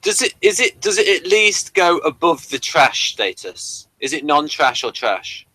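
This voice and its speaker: A man's voice: a little high, big pitch swings, unhurried, sharp tone, dry recording, poor audio. A man hosting a casual discussion of an audio drama, asking the others for their views. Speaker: podcast host.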